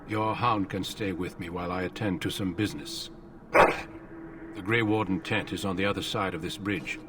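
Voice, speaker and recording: An actor playing a grave, seasoned male warden commander in a fantasy game, speaking in a measured, formal, solemn way. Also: deep voice, steady tone, far from the mic, heavy background noise, lightly acted. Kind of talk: fantasy video game voice acting.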